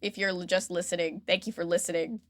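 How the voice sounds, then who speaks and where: A woman's voice: on the low side, fairly even intonation, quick pace, no echo. A woman co-hosting a podcast in casual chat, with a wry, playful and informal way of talking. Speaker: podcast co-host.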